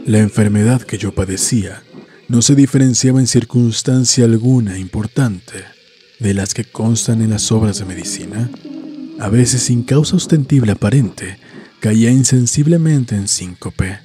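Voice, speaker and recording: A man reading a scripted horror story as an audiobook narrator, in a measured, solemn, literary manner. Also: fairly low pitch, mellow. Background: background music.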